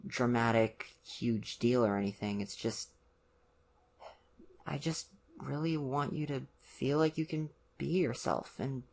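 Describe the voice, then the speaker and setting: ASMR roleplay boyfriend, played by an actor: college-age, awkward and earnest, gentle, halting delivery, medium pitch. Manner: hesitant, gentle, awkward, many ums and pauses. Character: ditzy, awkward, earnest young college boyfriend, caring and nervous. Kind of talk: ASMR roleplay, one-sided conversation with the listener.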